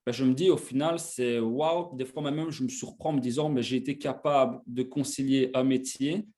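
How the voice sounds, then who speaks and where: Male, 20s, mid-range voice. Relaxed conversational guest talking about himself. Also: mid-range voice, normal speed. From Belgium. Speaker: interview guest. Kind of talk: casual chat, telling his own story.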